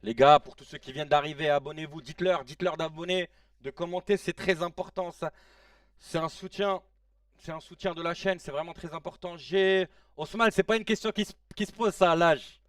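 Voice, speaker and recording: A man in his late thirties hosting a casual live stream, reacting excitedly to a football match in slangy chat and shouting at the players. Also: on the high side, fairly quick, dry recording, clean studio sound, far from the mic. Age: 30s. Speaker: live-stream watch-along host.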